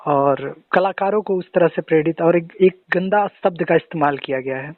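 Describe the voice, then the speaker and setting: A man's voice: on the high side, moderate pace, no echo. A man speaking as an interviewer on a recorded phone call. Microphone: phone line. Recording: tinny, band-limited phone call recording.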